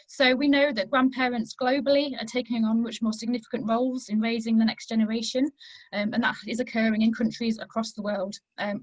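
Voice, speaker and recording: Croaky lady giving a zoom talk, kinda high voice, lots of ums, dry close sound. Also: no echo, low-quality recording. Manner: conversational lecturing with frequent "um" fillers. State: hoarse, croaky voice. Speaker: invited academic speaker. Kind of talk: conference presentation over video call. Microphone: video call.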